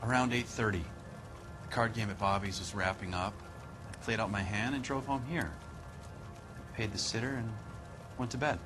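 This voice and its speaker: A man's voice: lowish voice, moderate pace, long pauses, natural voice. Man acting as resentful husband suspect, American accent, defensive, plain-spoken, game drama, music behind. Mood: guarded, admitting.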